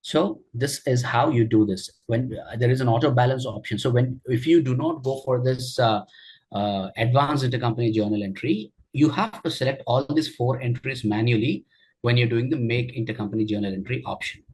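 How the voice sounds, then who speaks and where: A man teaching a software tutorial during a screen share, explaining each step with frequent fillers and check-ins. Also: medium pitch, a little fast, slightly rough, low-quality recording. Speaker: software trainer.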